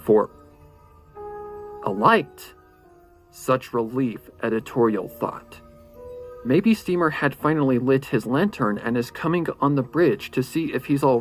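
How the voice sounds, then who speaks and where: A man narrating a horror story in a suspenseful, theatrical way. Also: medium pitch. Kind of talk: dramatic horror fan-fiction narration. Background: faint music.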